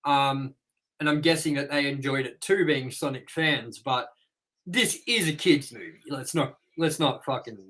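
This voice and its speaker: A man's voice: medium pitch, measured pace, a bit bright. A man in his thirties co-hosting a movie review show, chatting casually and telling rambling stories with casual swearing.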